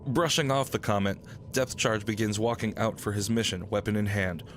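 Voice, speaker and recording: A man's voice: lowish voice, moderate pace, background noise. A man narrating a radio drama from a script.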